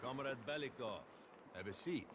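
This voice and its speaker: A man playing an authoritative older Soviet party secretary in a video game, speaking in a stern, formal, deliberate way. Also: mid-range voice, animated, noisy background, natural voice. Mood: grave, commanding. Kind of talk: cinematic video game acting.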